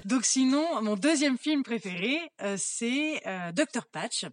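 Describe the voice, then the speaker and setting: A woman talking softly about her favourite film. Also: on the high side, expressive, unhurried. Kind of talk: recorded testimony.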